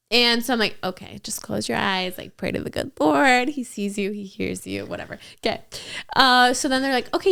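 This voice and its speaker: Young woman podcast co-host, smooth and fairly high, chatty storyteller. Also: a bit bright. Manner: chatty, heavy use of "like". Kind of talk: casual storytelling chat.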